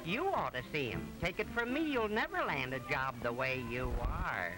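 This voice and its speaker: A man's voice: a little high, big pitch swings, normal speed, loud music behind the voice, rough recording. A man voicing a cheerful, boastful cartoon film character with brash, slangy delivery on an old 1920s film soundtrack. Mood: proud, eager.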